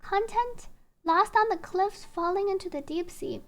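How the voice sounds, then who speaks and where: A woman's voice: very high-pitched, expressive, measured pace. A woman livestreaming a video game, chatting casually with viewers and reading on-screen text aloud over game music.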